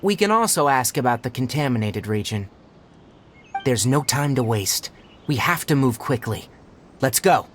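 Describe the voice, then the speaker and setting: A man's voice: mid-range voice, expressive, normal speed, fairly bright tone, slight background noise, close to an everyday voice. A man playing a composed, blunt young forest ranger and healer in a game, explaining things in an articulate, matter-of-fact way. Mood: focused, decisive. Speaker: forest watcher. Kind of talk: English game dub dialogue.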